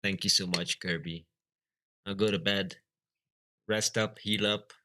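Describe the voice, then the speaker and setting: Flemish man, deep voice, bright edge, casual stream chat, halting, lots of ums. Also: fairly even intonation. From Belgium. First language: Dutch (Flemish). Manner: halting, lots of fillers and restarts. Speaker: livestreamer.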